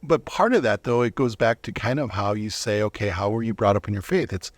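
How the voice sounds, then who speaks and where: Man, middle-aged, lowish voice, interview guest, reflective and halting, casual storytelling, dry close sound. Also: no room sound.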